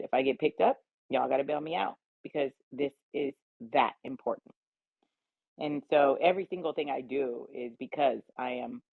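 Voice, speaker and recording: A woman's voice: extremely low pitch, creaky, breathy edge. An American woman telling personal stories candidly, with an assertive, emphatic and colloquial way of talking. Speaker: round table guest, cannabis advocate. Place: remote audio chatroom call. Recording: roomy, tinny phone-like audio.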